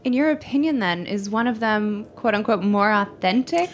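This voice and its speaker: A woman's voice: medium pitch, lively intonation, slight background noise. A woman hosting a podcast, moving the talk along and asking her guests questions. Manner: quick, asks guests questions. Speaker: podcast host. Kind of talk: interviewing.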